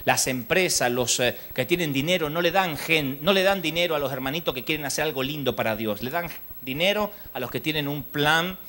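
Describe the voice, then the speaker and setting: A man with an Argentine accent preaching a sermon at a church congress, telling lively stories and mimicking the people in them. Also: a little high, brisk. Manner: lively storytelling, mimics people in his anecdotes; rises to loud exhortation.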